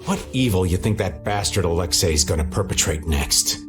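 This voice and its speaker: A man's voice: low voice, expressive, moderate pace, music in the background. A man playing a laid-back, roguish middle-aged adventurer in a video game, with a folksy, slangy delivery. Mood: easygoing, grumbling. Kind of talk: English video game dub.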